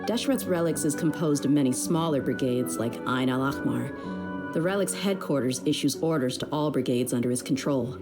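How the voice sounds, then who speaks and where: A woman's voice: very deep voice, moderate pace, music in the background. A woman playing an easygoing, loyal young female mercenary leader in a video game, speaking in a casual, frank, slangy way. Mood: frank, serious.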